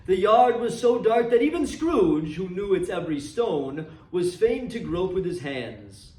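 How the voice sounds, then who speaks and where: Male storybook reader, theatrical and animated, echoey room, mic at a distance. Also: sits high. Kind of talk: dramatic storybook narration. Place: indoor room, seated reading from a book. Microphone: far camera. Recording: roomy echo, distant microphone.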